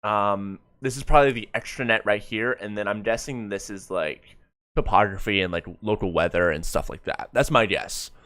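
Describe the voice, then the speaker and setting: Male streamer, deep and dry-miked, casual reviewer commentary that stumbles and circles back over words. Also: fairly bright tone, no room sound. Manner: halting, repeats words mid-thought. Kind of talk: casual live reaction commentary.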